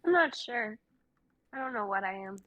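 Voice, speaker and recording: A woman's voice: a little high, expressive, moderate pace, fairly bright tone. A young woman playing a bewildered, awkward twenty-three-year-old in a dramatic reading, speaking hesitantly in modern casual phrasing. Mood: lost, unsure. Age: young adult.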